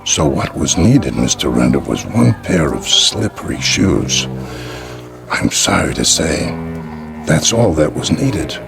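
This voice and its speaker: Creaky, gentle old peddler. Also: male voice, very deep voice, very animated, slow, music in the background, heavily acted. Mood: grave, sorrowful. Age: older. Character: gentle, cryptic old street peddler, quietly regretful. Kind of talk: vintage radio thriller acting.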